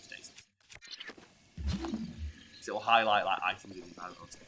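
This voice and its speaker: A hoarse, ill man swearing freely as he plays a video game and tells a story. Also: low voice, fairly even intonation, normal speed, background noise. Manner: swears freely, bursts into loud outbursts. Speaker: co-host playing a video game. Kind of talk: casual gaming chat with storytelling.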